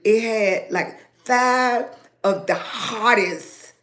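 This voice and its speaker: Woman, raspy voice, unhurried livestream host, echoey room sound. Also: a little high. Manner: greets viewers by name, rambling and animated. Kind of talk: casual livestream chat with viewers.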